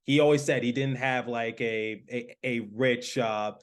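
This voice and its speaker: A man from Michigan hosting a sports podcast, asking questions in a halting way with many fillers. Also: mid-range voice, steady tone. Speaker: podcast host, hockey play-by-play announcer. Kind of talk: casual interview chat.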